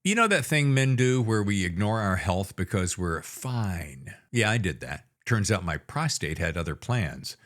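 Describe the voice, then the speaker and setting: A man's voice: fairly low pitch, sing-song, measured pace, few pauses, fairly bright tone. A middle-aged man reading a scripted, humorous promotion for his own book in a wry, self-deprecating way.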